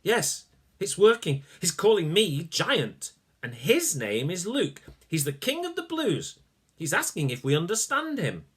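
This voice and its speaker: A man voicing an excitable young boy hero in a storybook reading. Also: on the high side, measured pace, breathy edge, voice pushed up, exaggerated voice. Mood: excited.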